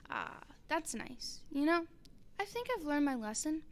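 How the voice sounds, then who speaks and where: A teenage girl plays a young cowgirl in a children's radio drama. The voice is slightly high, relaxed and content, like someone simply settling down to be sensible. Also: a little slow, heavily acted. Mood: contented, resolved. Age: teens. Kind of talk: student radio play for children.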